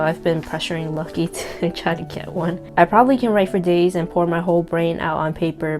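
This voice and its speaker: A young woman in her twenties whose native language is Cantonese, reading her own old letter aloud and reacting to it in a candid, self-deprecating way. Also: on the low side. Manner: candid, reflective, self-deprecating with soft laughs. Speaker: vlogger. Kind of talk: casual reading of a personal letter. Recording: guitar music playing behind.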